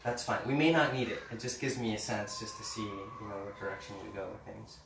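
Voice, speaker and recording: Calm man speaking in an echoey room. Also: on the low side. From Mexico City. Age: 40s. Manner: gentle, unhurried, reads poem slowly. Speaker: communication trainer. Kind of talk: relaxed workshop talk with a poetry reading. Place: meeting room with a live group. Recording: roomy, distant, faint hiss.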